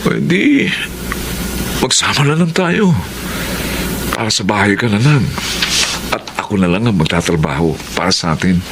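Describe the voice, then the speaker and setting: A man's voice: lowish voice, very animated, moderate pace, vocal fry, long pauses. A man with a Filipino accent playing a protective, jealous young boyfriend in a TV drama. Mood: playful wooing. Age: young adult. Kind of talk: TV drama acting. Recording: noisy location sound.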